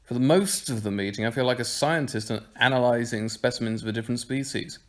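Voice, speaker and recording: A man's voice: mid-range voice, fast talker, close to an everyday voice. An actor playing a flippant, blunt young man in an audio drama, with a hesitant, wordy delivery. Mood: defensive. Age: young adult. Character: flippant, blunt young man, reluctant new club member. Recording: clearly noisy home recording with clicks.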